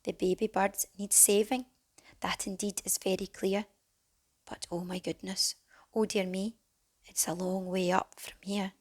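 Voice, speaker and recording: Slow, expressive woman reading to children. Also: medium pitch. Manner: animated, dramatic, with hushed whispered phrases. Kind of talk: expressive children's storybook narration in rhyme.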